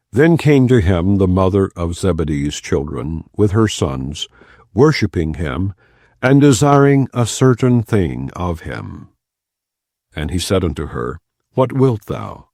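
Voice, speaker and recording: A man giving a plain, measured reading of scripture, slowing down for key phrases. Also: deep voice, sing-song, darkish tone, no echo, clean studio sound. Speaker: Bible reader. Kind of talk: non-dramatized scripture reading.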